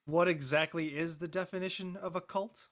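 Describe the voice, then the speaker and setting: A man playing a blunt, skeptical and slightly awkward high school boy in a script reading. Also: steady tone, normal speed, close to an everyday voice. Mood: awkward, casual. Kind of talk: casual live script reading.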